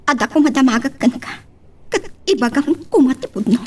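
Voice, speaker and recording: A woman's voice: sits high, expressive, fairly quick, breathy edge. A woman acting a hurt, betrayed wife determined to leave, in an Ilocano-accented radio-style love drama. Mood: accusing.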